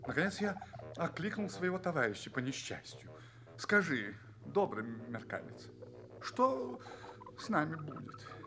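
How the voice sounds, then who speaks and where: A man's voice: a little high, very animated, a little slow, gravelly, noisy background, exaggerated voice. An actor playing an anxious, bewildered space traveller in an audio play, politely asking questions and telling his tale theatrically. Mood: dramatic, fearful.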